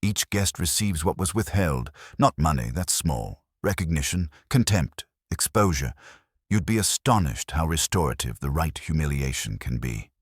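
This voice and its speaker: A man's voice: rumbling low, expressive, moderate pace, husky, dry recording, clean studio sound, heavily acted. A man voicing a smug, theatrical villain in an audio mystery, pompous and precise in a pleasantly menacing way. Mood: pompous, satisfied.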